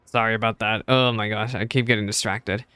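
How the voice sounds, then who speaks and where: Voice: medium pitch, steady tone, a little fast, bright, forceful tone, clear, resonant tone, dry recording. A game streamer giving casual, informal live reaction commentary in a chatty way. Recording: stream with faint game music.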